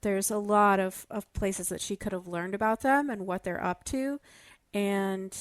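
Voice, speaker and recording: A woman with a mid-pitched voice, recorded dry and close, in a casual analytical podcast discussion. She is thoughtful, qualifying her ideas and building them step by step. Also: a little slow, no echo. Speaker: podcast panelist discussing a fiction show.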